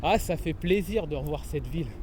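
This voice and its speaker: Young male street vlogger, slightly high voice, rambling slangy chat, loud traffic behind. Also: a little fast. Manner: chatty, rambling, crude slang. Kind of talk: casual walking vlog. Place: city streets outdoors. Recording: outdoor street noise. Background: traffic and street noise.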